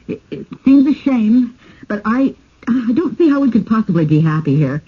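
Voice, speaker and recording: A worried, reasonable wife in vintage radio drama. She hesitates and restarts, her voice markedly husky and expressive in pitch. The old recording sounds dull. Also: moderate pace. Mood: wistful, uneasy.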